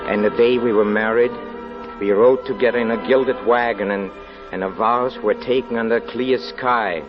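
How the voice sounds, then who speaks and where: An actor playing a haunted, lovesick fortune teller in a 1940s radio drama, halting and brooding, often repeating his words. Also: on the low side, fairly even intonation, close to an everyday voice. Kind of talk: 1940s radio acting, first-person confession. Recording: muffled 1940s radio recording, music behind.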